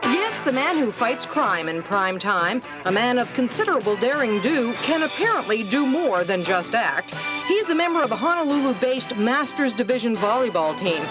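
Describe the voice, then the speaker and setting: A woman's voice: on the high side, sing-song, moderate pace, over music, low-quality recording. A woman with an American accent narrating a feature news report in a playful, wry way.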